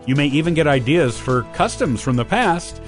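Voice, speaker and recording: A man hosting a podcast, reading scripted instructional narration in a deliberate, clearly structured way. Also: on the high side, animated, soft background music. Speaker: podcast host.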